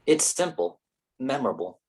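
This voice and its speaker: Guy acting blunt picky man in a stage drama, husky voice, natural questioning delivery. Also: mid-range voice, sing-song, normal speed, darkish tone. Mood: nitpicking. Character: blunt, nitpicking man quizzing a filmmaker. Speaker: actor in a staged play reading. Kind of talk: naturalistic staged play reading.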